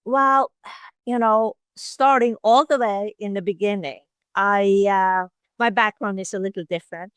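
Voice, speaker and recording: An older woman with a Norwegian accent, a veterinary oncologist telling her story as a podcast guest in a reflective, unhurried way. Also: mid-range voice, steady tone, fairly bright tone, clear, resonant tone, no room sound. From Norway. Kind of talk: casual interview storytelling.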